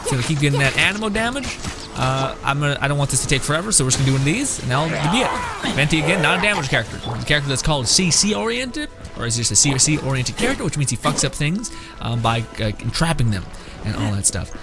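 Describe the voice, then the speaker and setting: A man's voice: on the high side, big pitch swings, quick pace. A young man giving casual gameplay commentary as a streamer, rambling, jokey and slangy. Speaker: gaming streamer. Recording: game music behind the voice.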